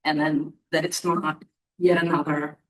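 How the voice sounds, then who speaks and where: A woman's voice: low voice, flat delivery, unhurried. A woman in the audience making a long, rambling comment with many restarts. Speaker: audience member. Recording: roomy hall, distant mic.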